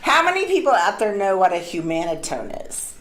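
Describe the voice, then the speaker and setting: A woman's voice: medium pitch, big pitch swings, brisk. A woman hosting a talk show, joking and teasing her guest and laughing often. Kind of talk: casual talk show banter. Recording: echoey room with loud background noise.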